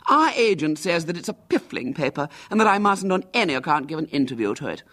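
A man's voice: fairly high pitch, big pitch swings, fast, fairly bright tone, pitched above the performer's own voice. A man reading a storybook, voicing a conceited, self-important young boy with a haughty, boastful manner. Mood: imperious.

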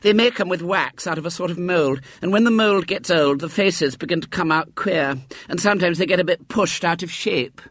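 A man's voice: high voice, moderate pace, a bit bright, dry recording, pitched above the performer's own voice. A man voicing a chatty, opinionated mother out shopping, in a storybook reading.

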